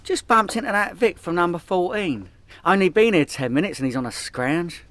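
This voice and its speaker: An actor playing a hopeless, boastful petty criminal from London in a comedy radio play, chummy and evasive. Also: male voice, high-pitched, animated, normal speed, fairly bright tone, slight background noise. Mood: casual bluster. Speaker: lead character, would-be gangster.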